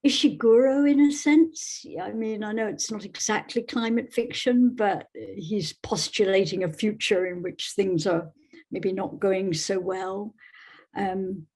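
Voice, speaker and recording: A woman's voice: medium pitch, a little slow, darkish tone. A woman, speaking as a guest novelist in thoughtful literary talk and reading. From Scotland.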